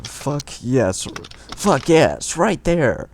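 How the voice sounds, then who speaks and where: A man's voice: fairly high pitch, very animated, extremely slow, voice pushed up, heavily acted. A man voicing a lustful, breathless male cartoon hedgehog hero in a fan-fiction reading, moaning and exclaiming mock-dramatically. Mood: mock-passionate moaning. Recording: noisy home recording.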